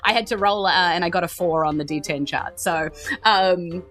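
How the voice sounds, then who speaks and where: A woman's voice: on the low side, moderate pace, a bit bright, faint music behind. A woman improvising informal narration as a tabletop game master.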